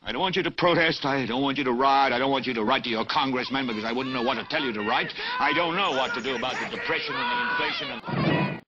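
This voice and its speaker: An actor playing a furious, prophetic TV news anchor, ranting in oratorical, repeating cadences like a zealot in a film monologue. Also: male voice, mid-range voice, big pitch swings, fast, sharp tone, muffled recording, a little hiss or hum. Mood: urgent fury.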